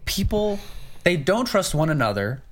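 A wry co-host throwing in sarcastic quips during casual podcast talk. His voice sits at a middle pitch. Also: big pitch swings, moderate pace, a loud crowd. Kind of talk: casual political chat.

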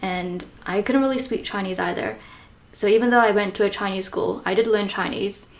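A young woman from Malaysia, hosting a podcast, talking casually and telling her story clearly and warmly. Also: mid-range voice, a little fast. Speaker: podcast host.

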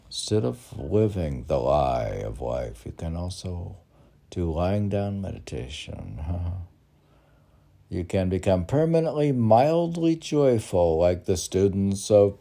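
An older man reading his own poetry aloud in a halting manner, mixing recitation with casual asides. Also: on the low side, creaky, mellow. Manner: halting, spells out and repeats words. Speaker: poet reading own work.

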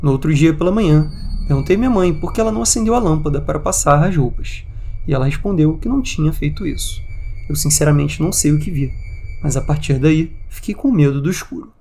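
A man's voice: fairly high pitch, fast talker, warm tone, a little hiss or hum. A man with a Brazilian accent hosting a podcast, reading out and commenting on listeners' eerie stories. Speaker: podcast host. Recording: music bed under the voice. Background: background music.

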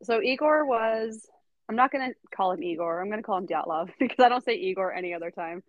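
A woman's voice: medium pitch, expressive, quick pace, dry recording, lo-fi sound. A woman hosting a podcast, telling a story in casual chat, giggling often and full of fillers. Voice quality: giggly, chatty, filler-heavy. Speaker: podcast host.

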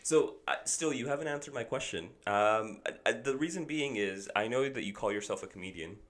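A man's voice: mid-range voice, a little fast, a bit bright. Laughing young man, American accent. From India. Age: young adult. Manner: laughs often, mixes in Hindi words. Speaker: podcast host. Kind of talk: casual interview chat.

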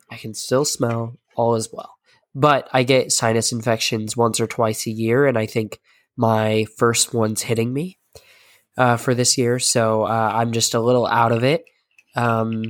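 A hoarse man hosts a late-night podcast, his stuffy voice worn from a sinus infection. He rambles in a chatty, self-deprecating way. The sound is dry and close, with no room around it. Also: medium pitch, no echo. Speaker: podcast host. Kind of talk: casual podcast chat.

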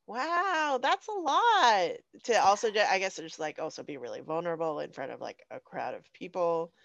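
A woman's voice: lowish voice, expressive, moderate pace, vocal fry, fairly bright tone, dry recording. An American woman, a young adult, hosting a podcast in an informal, conversational style on slightly lo-fi audio. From Phoenix, Arizona, USA. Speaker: podcast host. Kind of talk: casual chat.

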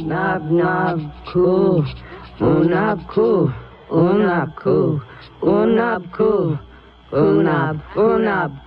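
A stern old sorceress from a 1970s radio drama. She is crafty and teasing, delivering each phrase with grand deliberation. The sound is dull, vintage radio. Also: on the low side. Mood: chanting. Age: older.

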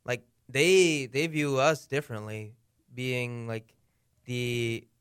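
A young man from the United States, a guest in a casual chat, telling frank stories in a relaxed way. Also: mid-range voice, slow pace, smooth, dry recording, clean studio sound. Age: young adult.

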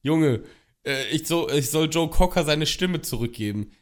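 A young man co-hosting a podcast, hoarse from a cold, rambling and complaining in casual chat with plenty of swearing. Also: fairly high pitch, animated, normal speed. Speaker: podcast co-host.

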